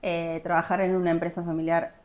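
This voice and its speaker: Relaxed Argentine woman. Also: lowish voice. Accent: Argentine Spanish. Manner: relaxed, searching for words, pausing often. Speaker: guest, property investor. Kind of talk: casual podcast interview.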